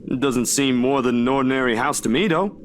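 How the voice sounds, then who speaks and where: A man's voice: mid-range voice, brisk, a little hiss or hum, natural voice. An actor playing an easygoing, cheeky young man in a visual novel, casual, teasing and reassuring.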